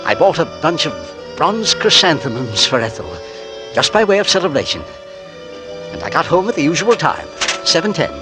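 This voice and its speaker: An actor playing a polite, nervous husband who narrates a 1940s radio drama. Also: male voice, on the high side, sing-song, moderate pace. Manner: genteel, polite, nervously hesitant. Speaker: narrator and lead. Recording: old radio broadcast with music.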